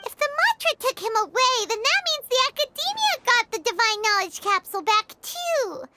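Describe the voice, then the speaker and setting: A woman voicing a tiny, excitable, childlike fairy companion girl in a video game, squeaky and full of exclamations. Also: normal speed, heavy background noise. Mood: disappointed, uneasy. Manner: exclamatory, speaks of self in third person. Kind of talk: video game character acting.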